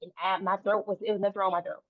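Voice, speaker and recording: A woman's voice: medium pitch, little pitch movement, racing, no room sound. A woman guest talking earnestly in a casual interview, restarting and repeating phrases as she goes. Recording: dry, tinny phone-like line.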